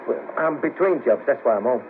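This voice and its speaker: Down-on-his-luck ex band manager, male, played by an actor: Merseyside, gloomy and hesitant, varied intonation, 1970s radio play, clear tape noise. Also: medium pitch, expressive, a little fast, lightly acted. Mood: downbeat. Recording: hissy narrow-band cassette of 1970s radio broadcast.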